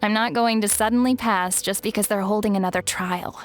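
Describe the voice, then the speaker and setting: A woman's voice: mid-range voice, steady tone, a little fast, soft background music, natural voice. A woman playing a self-doubting, resigned young woman who speaks hesitantly, in an English game dub.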